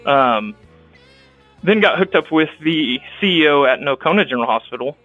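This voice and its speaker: A young man with a Texan accent, a clinic director telling his career story in a casual interview, halting with frequent restarts. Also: on the high side, lively intonation, phone-quality sound, soft background music. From Texas. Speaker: guest, rural health clinic director.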